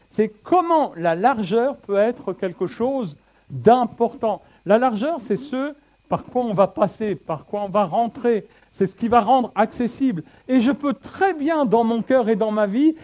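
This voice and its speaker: A middle-aged man from France preaching a sermon with slides, conversational and lively, joking with the congregation and swinging between soft and loud passages. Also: sits high. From France (Le Havre).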